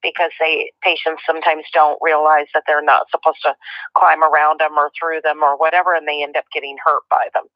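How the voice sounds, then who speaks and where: A woman's voice: deep voice, flat delivery, a little fast, no room sound. An American woman on a phone call, explaining procedure as an official in a matter-of-fact, instructive way. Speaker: state health department representative. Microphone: telephone. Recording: dull lo-fi telephone line.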